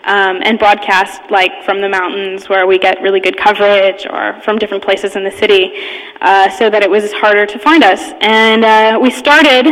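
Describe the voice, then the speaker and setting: A woman giving an informal talk, telling a personal story in a halting, amused manner. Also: medium pitch, little pitch movement, fairly bright tone. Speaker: conference speaker, radio hacker community member. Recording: echoey hall with long reverb.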